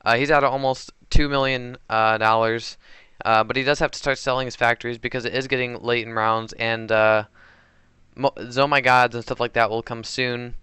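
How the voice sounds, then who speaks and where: A man's voice: medium pitch, flat delivery, normal speed, pushed and bright, smooth, no echo. A man giving casual, hesitant commentary over recorded gameplay, often unsure of himself and correcting his own words. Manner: hesitant and unsure, many fillers and self-corrections.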